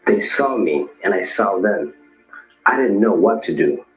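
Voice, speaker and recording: A man playing a twenty-six-year-old, reading a scripted first-person account in a plain, even way. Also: sits low, a little slow. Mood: somber. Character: young man of twenty-six, shot in the street. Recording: echoey room with faint music.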